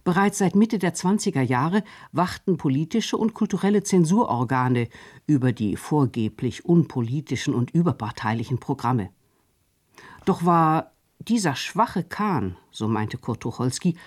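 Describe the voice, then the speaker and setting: A man reading a scripted radio documentary narration in a measured, formal way. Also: fairly high pitch, expressive, a little mellow, slightly rough.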